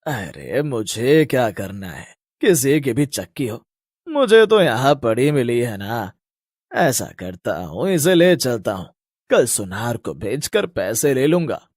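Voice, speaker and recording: A man's voice: medium pitch, very animated, slow pace, a little mellow, dry recording, clean studio sound. A man playing a poor, greedy villager in a storybook tale, drawling as he muses to himself. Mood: greedy, musing.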